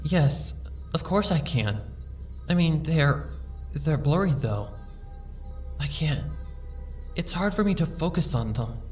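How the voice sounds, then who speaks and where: A woman playing a timid sick pony, soft and stammering, slow, distant mic. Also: low-pitched, expressive, faint music behind. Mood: timid, shaky. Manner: soft, hesitant, stammering, pleading. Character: timid sick young female pony, frightened. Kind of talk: fan audiobook reading.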